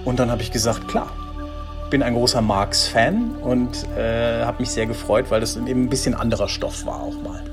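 A man's voice: medium pitch, normal speed, over music. A man speaking as an interviewed scriptwriter in casual, anecdotal talk.

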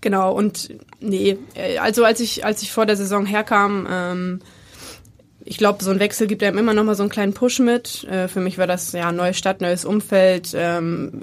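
A woman's voice: mid-range voice, level tone, a little fast. A woman in her twenties from Bremen, a football player answering interview questions with many fillers and hesitations. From Bremen, Germany. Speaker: women's football player, guest.

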